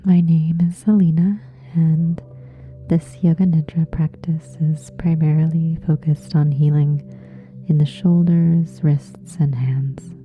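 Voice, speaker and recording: A woman leading a guided relaxation meditation in a soft, breathy, hushed and soothing way, leaving long pauses. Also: deep voice, steady tone, narrow, tinny audio. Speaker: yoga nidra meditation guide. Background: soft meditation music with singing bowls.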